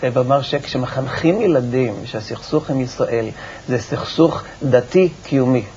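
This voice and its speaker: A man giving explanatory answers as an expert guest in a television interview, with a halting, repetitive delivery and a creaky voice. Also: mid-range voice, a little mellow. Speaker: research institute director, interview guest. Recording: muffled, noisy TV broadcast recording.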